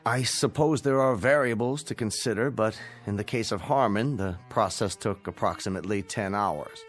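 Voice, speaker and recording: An actor in a radio drama playing a stern, vengeful scientist with a measured, formal, sardonic delivery. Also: male voice, on the low side, natural voice. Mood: grave, measured. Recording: music bed under the voice.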